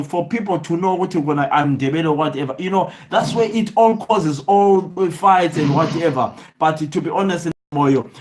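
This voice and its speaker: Zimbabwean man hosting a chatty live stream. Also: a little high. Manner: greets viewers by name, mixes English and Ndebele. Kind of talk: casual live stream chat. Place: away from home.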